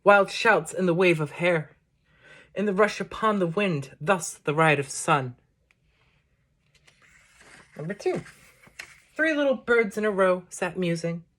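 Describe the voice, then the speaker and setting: A woman reading poetry aloud, delivering it as a measured, declamatory recital in short phrases. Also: lowish voice, animated, a bit bright. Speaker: poetry reader introducing a book.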